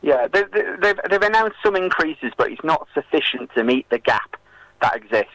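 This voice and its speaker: A man speaking as an activist guest in a radio interview, making a political argument in a hesitant, halting way with many filled pauses. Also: on the high side, big pitch swings, a bit bright, no room sound, rough recording.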